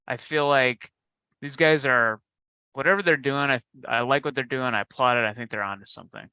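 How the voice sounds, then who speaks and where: A man's voice: mid-range voice, a little fast, bright, forceful tone, clear, resonant tone, dry recording. A man co-hosting a live video show, chatting loosely and laughing along with the others. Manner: laughing, easygoing banter. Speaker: co-host. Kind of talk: casual chat.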